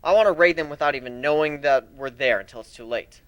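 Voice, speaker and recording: A man giving an expressive, theatrical first-person narration as an amateur dramatic story reader. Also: a little high, quick pace, dry recording, muffled recording.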